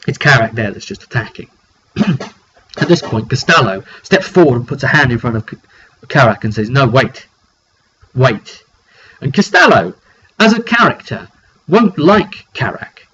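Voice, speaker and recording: A man's voice: mid-range voice, sing-song, moderate pace, sharp tone. A man informally recounting a fantasy roleplay session as game master, dramatic and repeating phrases for emphasis. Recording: dull lo-fi recording, clear background noise.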